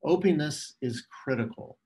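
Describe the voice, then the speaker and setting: A middle-aged man presenting a scripted keynote as a telecom executive, in a measured, formal, phrase-by-phrase way. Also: on the high side, warm tone.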